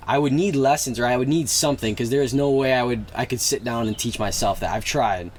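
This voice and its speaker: Mid-pitched man chatting over background noise. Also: a little fast. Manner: agreeable, frequent short interjections. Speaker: podcast host. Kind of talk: casual chat.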